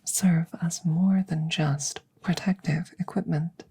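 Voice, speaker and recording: Alien scientist, played by a woman: low, breathy near-whisper, very unhurried, formal and detached, close-miked ASMR roleplay. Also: fairly even intonation, dark tone, full character voice. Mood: clinical, soothing. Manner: slow, formal, precise, detached phrasing. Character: curious, clinical alien scientist tending a rescued human. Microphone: close microphone, ASMR style.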